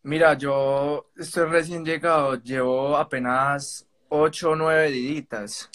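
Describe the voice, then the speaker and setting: A man's voice: on the high side, fairly even intonation, slow pace, a bit bright. A man with a Colombian accent, speaking as a caller in a casual, polite, somewhat hesitant and roundabout way. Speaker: caller on a live stream. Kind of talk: casual spoken account.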